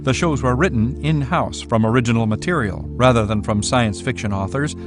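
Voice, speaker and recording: A man's voice: mid-range voice, brisk. A man reading scripted documentary narration. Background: prominent music.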